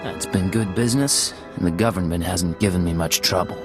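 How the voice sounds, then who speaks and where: A man's voice: low-pitched, brisk, lightly acted. A man playing a cool, menacing undercover agent in a video game, speaking in terse, controlled lines. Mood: cool, guarded. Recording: loud background music.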